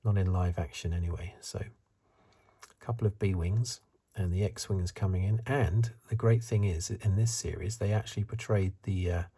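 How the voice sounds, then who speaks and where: A man giving soft-spoken, half-whispered ASMR commentary in a husky, breathy voice, musing and rambling as he goes. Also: low-pitched, fairly even intonation, moderate pace. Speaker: ASMR reviewer.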